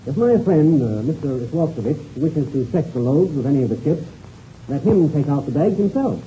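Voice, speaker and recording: Man playing a hearty aviator, animated and mellow. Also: fairly high pitch, normal speed, close to an everyday voice. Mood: confident. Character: genial, steady male aviator, mentor to a youngster. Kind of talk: 1930s radio serial acting. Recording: muffled, hissy old radio recording.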